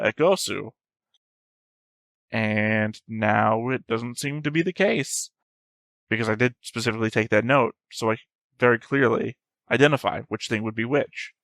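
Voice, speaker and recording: A man's voice: on the low side, a bit bright, no room sound. A man hosting a reaction video, giving casual analytical commentary in a rambling, thinking-aloud way.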